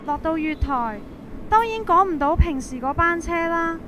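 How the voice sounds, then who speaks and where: A woman's voice: very high-pitched, animated, moderate pace, music in the background. A woman with a Hong Kong Cantonese accent narrates a scripted suspense story in the first person, in a colloquial, dramatized way.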